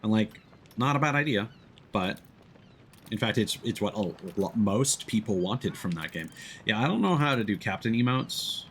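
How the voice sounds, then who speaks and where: Guy streaming a game, deepish voice that moves around a lot, chatting w viewers. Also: expressive, normal speed, fairly bright tone, slight background noise. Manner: chatty, greeting and thanking viewers mid-thought. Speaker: livestreamer, VTuber. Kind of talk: casual livestream chat over gameplay.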